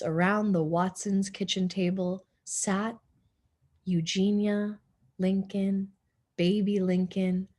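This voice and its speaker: A woman teacher reading a storybook aloud to children, animated and full of asides and questions to her young listeners. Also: mid-range voice.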